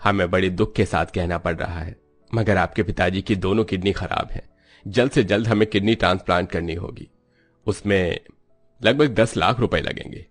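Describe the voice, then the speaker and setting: A man voicing a grave, formal hospital doctor in an animated moral story. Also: low-pitched, moderate pace, faint music behind. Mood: grave, urgent.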